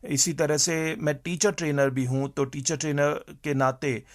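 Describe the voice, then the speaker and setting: A man who works as a teacher and trainer, giving measured, explanatory interview answers with hesitant pauses. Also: on the high side, steady tone, dry recording, clean studio sound.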